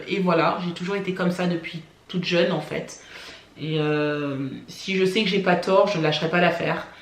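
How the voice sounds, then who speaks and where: A woman born in France, telling personal stories to camera for her family vlog in a chatty way, often pausing on "euh" and "voilà". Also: on the low side, reverberant. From France (born in Paris). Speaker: family vlogger. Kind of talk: casual personal storytelling to camera.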